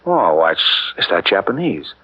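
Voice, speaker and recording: An actor playing a relaxed American traveler in a 1940s radio drama, casual and chatty. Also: male voice, sits low, moderate pace, husky, exaggerated voice. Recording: muffled 1940s radio broadcast.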